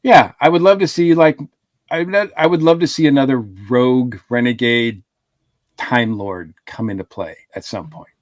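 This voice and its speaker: A man's voice: mid-range voice, normal speed, no echo, studio quality. A man co-hosting a podcast, talking casually and hesitantly with many restarts.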